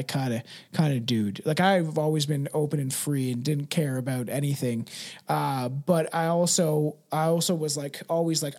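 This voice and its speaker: A man with a high voice chats as a podcast host. He is blunt and emphatic, swears freely and keeps checking in with "right" and "man". The delivery is casual and full of opinion. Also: a little mellow.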